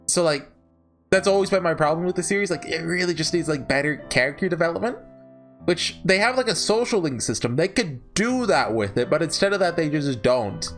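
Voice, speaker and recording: Animated, higher-pitched man rambling casually. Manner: rambling, opinionated, often self-correcting and seeking agreement with "right?". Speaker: visual novel reviewer. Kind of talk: casual unscripted ranking commentary.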